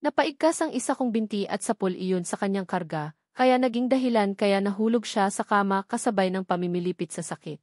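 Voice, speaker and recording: A woman's voice: medium pitch, a little fast, a little mellow, no echo, studio quality. A woman narrating a romance novel in the first person, reading from a script as an audiobook narrator.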